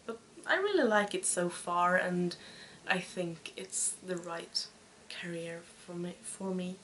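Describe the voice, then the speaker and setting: A Swedish woman in her twenties hosting a podcast in casual chat, speaking with a Swedish accent and pausing often to find her words. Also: lowish voice, a bit bright, slight background noise, rough recording. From Sweden. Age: 20s. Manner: hesitant, searching for words. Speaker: podcast host.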